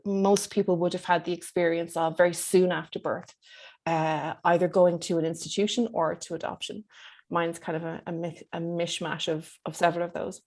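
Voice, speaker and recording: Irish woman in her 50s talking in an interview, lowish voice, thoughtful and measured. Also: little pitch movement, darkish tone. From Dublin, Ireland. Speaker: psychotherapist being interviewed. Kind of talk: reflective interview talk.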